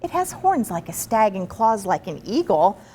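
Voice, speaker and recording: A woman giving an expressive, chatty and encouraging read-aloud of a children's picture book, with asides to the listeners. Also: medium pitch, moderate pace, a little hiss or hum. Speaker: story reader.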